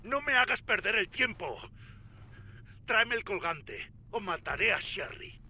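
A menacing male kidnapper for a dramatic video game. His speech is curt and threatening, with a hard, very bright edge. The intonation moves widely. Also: high-pitched, lively intonation, unhurried, slight background noise, full character voice. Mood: angry threat. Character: menacing corrupt male kidnapper, middle-aged or older.

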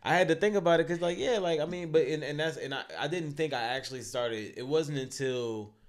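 A man's voice: fairly high pitch, fairly quick. A man co-hosting a podcast, chatting casually in a loose, profane, rambling way with lots of fillers. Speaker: podcast co-host.

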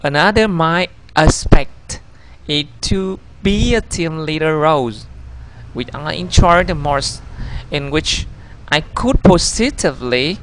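A man in his thirties with a Vietnamese accent, a native Vietnamese speaker, narrating his own video CV over slides in a halting way, often correcting himself. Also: a little high, a bit bright, slight background noise, low-quality recording. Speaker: job applicant.

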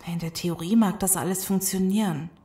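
A woman's voice: lowish voice, steady tone, a little fast, warm tone, lightly acted. A woman playing an earnest scientist in a German audio drama. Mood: resigned. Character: earnest scientist woman explaining a zombie virus. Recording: echoey room sound.

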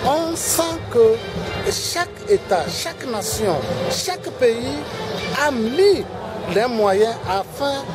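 A man's voice: very high voice, animated, takes it slowly. A middle-aged man from Togo, giving a formal interview statement, earnest and hesitant with many fillers. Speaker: government official giving an interview. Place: busy exhibition pavilion. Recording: music and crowd chatter behind. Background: African pop music and crowd noise.

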